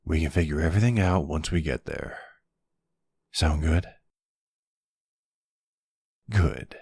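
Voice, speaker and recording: Man playing a gravelly, flirty male vampire. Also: very deep voice, slow pace, darkish tone, dry recording, studio quality, heavily acted. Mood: playful, smug.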